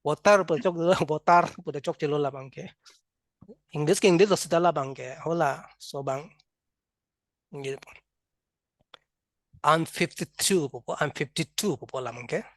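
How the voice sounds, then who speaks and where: A man with a Karbi accent teaching an English class, drilling words and sounds slowly and having students repeat. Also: on the high side, no room sound. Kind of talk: classroom lesson, mixing local language and English.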